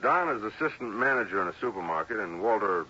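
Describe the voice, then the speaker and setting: An actor playing a brisk, folksy, agreeable American man with a husky voice in a mid-century radio detective story. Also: lowish voice, animated, pushed and bright, a little hiss or hum. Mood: matter-of-fact. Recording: dull old radio recording.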